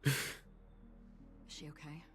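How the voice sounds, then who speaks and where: A woman playing an enigmatic, solemn wanderer in a video game, speaking in a calm, deliberate and secretive manner. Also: faint music behind, natural voice. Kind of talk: dramatic video game dialogue.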